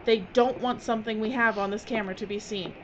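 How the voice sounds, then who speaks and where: An actress playing a bossy, impatient, sharp-tongued filmmaker in an audio drama. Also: female voice, a little high, fairly even intonation, quick pace, natural voice. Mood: urgent, scolding. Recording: dull, noisy audio drama recording.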